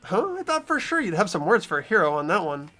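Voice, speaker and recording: Player as an earnest young sleuth, animated pitch. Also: fast. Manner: earnest, reasoning aloud. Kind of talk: fan voice acting of a game.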